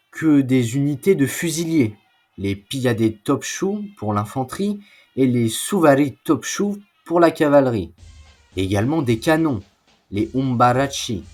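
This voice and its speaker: A man narrates history in a slow, unhurried delivery with a husky, rough-edged voice. He explains in a semi-scripted style and slips in informal asides. Faint music plays behind him. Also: medium pitch, darkish tone.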